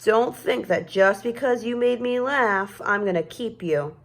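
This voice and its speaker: A woman voicing a grudging but soft-hearted child with a pet goldfish in a storybook, speaking plainly and emphatically. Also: a little high, unhurried. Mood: grudging, firm. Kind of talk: children's storybook read-aloud.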